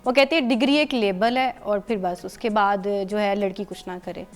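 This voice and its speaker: A woman's voice: mid-range voice, fairly even intonation, normal speed. A native Saraiki-speaking woman from Pakistan, a news anchor guest on a TV studio talk show, explaining earnestly and sometimes searching for words.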